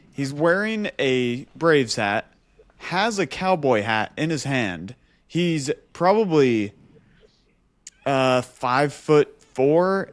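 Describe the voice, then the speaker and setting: A man's voice: mid-range voice, no echo. A man co-hosting a podcast, telling an anecdote casually and animatedly, repeating phrases for emphasis. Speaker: podcast co-host.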